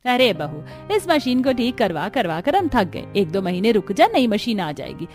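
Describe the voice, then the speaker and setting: A woman's voice: mid-range voice, big pitch swings, moderate pace, darkish tone, faint music behind, close to an everyday voice. A woman voicing a stingy, chiding middle-aged mother-in-law in a cartoon story. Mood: dismissive. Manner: dismissive, chiding.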